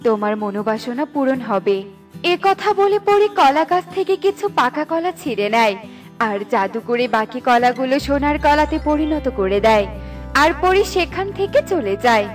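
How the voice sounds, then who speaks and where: A woman narrates a cartoon fairy tale in a very high voice. The delivery is scripted storybook telling, with music playing underneath. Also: sing-song, moderate pace.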